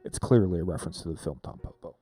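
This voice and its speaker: A man's voice: low-pitched, fast talker, dark tone. A man giving casual, rambling live commentary as he streams a video game, often repeating phrases as he riffs. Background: game music.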